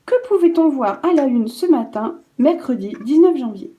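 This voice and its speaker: A woman with a high, mellow voice presents a scripted radio press review. Her delivery is formal and carefully read. The room adds a clear echo around her words. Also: lively intonation, normal speed. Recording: roomy, echoing room sound.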